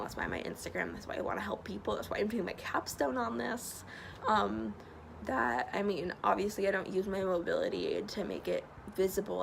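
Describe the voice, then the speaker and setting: A woman's voice: mid-range voice, gravelly. A young woman with a stuffy nose, answering questions in a casual vlog outdoors. She talks in an earnest, chatty way with many ums. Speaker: vlogger. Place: outdoors by a loud road. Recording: noisy outdoor recording. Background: passing traffic.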